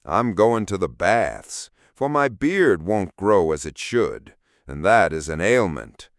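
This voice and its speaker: A man voicing a living shadow turned wealthy gentleman in a storybook, self-important, boastful and condescending. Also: low voice, big pitch swings, slow pace, no room sound, studio quality, full character voice. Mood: patronizing, lordly.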